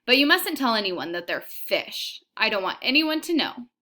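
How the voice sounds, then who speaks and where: A stubborn, sulky little girl from a storybook, acted out by a woman reader. The voice jumps high and sounds sharp and forceful. She protests with dramatic, wailing indignation. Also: sing-song, moderate pace. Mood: demanding.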